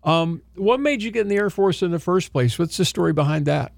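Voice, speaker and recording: A man's voice: sits high, lively intonation, a little fast, creaky, no echo. An upbeat, chatty man from Oklahoma, hosting a casual interview and rambling through his questions. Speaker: radio host.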